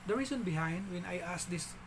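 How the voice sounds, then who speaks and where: A man with a Filipino accent telling a story in a casual vlog, halting, with frequent restarts and fillers. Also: sits high, expressive, background noise. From Philippines.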